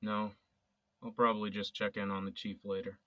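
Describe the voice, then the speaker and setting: A man's voice: lowish voice, flat delivery, normal speed, bright, forceful tone, no echo, highs cut off. A man playing a young adult son with an accused father, bantering with his friend and earnest when it matters. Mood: probing. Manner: joking banter that turns earnest. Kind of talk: amateur audio drama acting.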